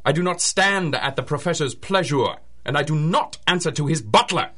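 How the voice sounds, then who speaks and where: A man's voice: on the high side, expressive, normal speed, sharp tone. A man playing a haughty, impatient scientist with a pompous, imperious manner, in the style of 1930s radio drama. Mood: indignant. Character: haughty, impatient male scientist bullying a butler.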